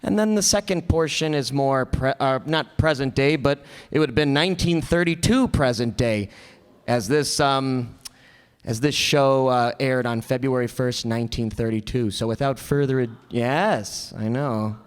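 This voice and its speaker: A man's voice: mid-range voice, moderate pace, smooth. Informal male host in a reverberant hall. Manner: hesitant, rambling, joking with the audience. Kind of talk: informal live stage introduction. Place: stage before a small audience. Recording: live hall with long reverb.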